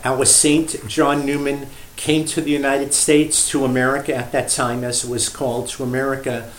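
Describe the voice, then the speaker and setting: Guy priest on a radio interview telling a story, measured n emphatic, mid pitch, echoey room w bit of noise. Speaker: priest and shrine director interviewed as guest. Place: indoor room at a shrine. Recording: roomy echo, faint background noise.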